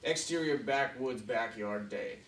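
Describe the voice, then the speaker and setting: A man's voice: mid-range voice, unhurried, fairly bright tone. A man speaking as a film director at a table read, informal, reading stage directions and explaining shoots. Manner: informal, peppered with "you know" and "like". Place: small indoor conference room. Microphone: camera mic across the table. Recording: echoey meeting room, distant mic, faint noise.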